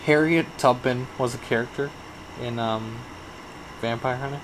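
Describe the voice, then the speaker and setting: A man's voice: medium pitch, fairly even intonation, moderate pace, background noise. A man co-hosting a casual movie review podcast, laid-back and hesitant. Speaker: podcast co-host.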